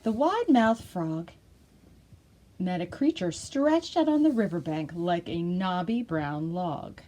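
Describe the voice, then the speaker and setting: A woman hosting a children's storytime, reading aloud with expressive, encouraging, exaggerated delivery. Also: on the low side, takes it slowly, slight background noise. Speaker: library storytime host. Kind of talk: animated read-aloud for young children.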